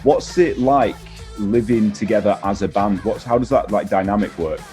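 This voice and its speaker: A man's voice: fairly low pitch, moderate pace, music in the background. A man hosting a casual interview. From north-west England. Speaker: radio show host interviewing a band.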